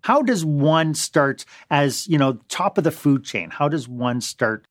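A man's voice: fairly high pitch, expressive, a little slow, no room sound. Enthusiastic male interview host, dry sound. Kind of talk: conversational interview.

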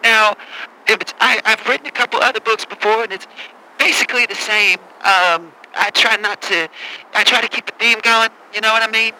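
A man's voice: sits high, normal speed, sharp tone, slight background noise, low-quality recording. A man playing a pious, rambling preacher who speaks nervously and politely. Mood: earnest. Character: earnest, rambling male preacher promoting his book. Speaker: pastor and author.

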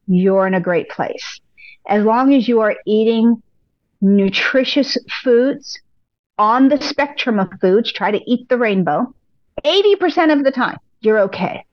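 A woman, a pilates instructor, giving upbeat, encouraging advice as a podcast guest, a little hoarse from losing her voice. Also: a little high, no room sound, lo-fi sound. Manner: upbeat, encouraging, speaks in repeated affirmations. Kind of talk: casual interview advice.

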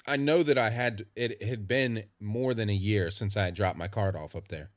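An easygoing man chatting as a guest on a podcast. His voice is low and dry-sounding, with no room echo, and he tells his stories loosely, stretching out his "like"s and "um"s. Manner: easygoing storyteller, drawn-out fillers. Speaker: musician guest. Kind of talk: casual interview chat.

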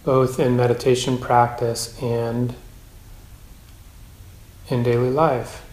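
A man's voice: medium pitch, level tone. Man guiding meditation in an echoey room. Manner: measured, gentle instruction with long pauses. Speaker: meditation teacher. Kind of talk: guided meditation instruction. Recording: roomy, echoing space.

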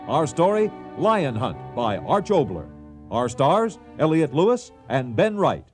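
Male radio announcer: raised pitch, lively swings, scripted show intro over music. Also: measured pace.

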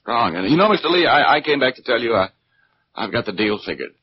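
A man's voice: mid-range voice, very animated, fast talker, a little hiss or hum, close to an everyday voice. Man acting a wisecracking 1940s radio private eye, swooping delivery. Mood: feigning wooziness. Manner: wry, slangy. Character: wisecracking tough male private detective, narrating and scheming. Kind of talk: 1940s radio detective acting, first-person narration. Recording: dull 1940s radio broadcast.

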